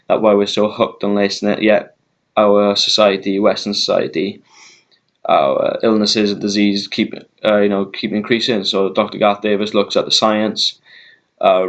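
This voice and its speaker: A man with a Welsh accent talking casually to his YouTube viewers, rambling with lots of ums and uhs and slowing himself down. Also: lowish voice. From Wales. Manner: rambling, many ums and uhs, deliberately slowed down. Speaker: vegan YouTuber. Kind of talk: casual talk to camera.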